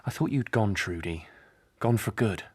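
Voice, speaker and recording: An actor playing an eager, teasing, curious friend in a naturalistic radio drama, wry and probing. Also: male voice, fairly low pitch, expressive, moderate pace, husky.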